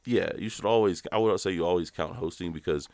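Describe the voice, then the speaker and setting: A man's voice: sits low, fast, gravelly, darkish tone, no room sound. A man co-hosting a podcast, making crude, slangy jokes in casual chat. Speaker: podcast co-host.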